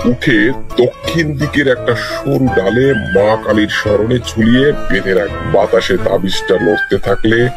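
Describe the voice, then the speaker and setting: A man's voice: mid-range voice, close to an everyday voice. An actor playing an all-knowing village holy man in a cartoon folk tale, solemn and authoritative as he gives instructions.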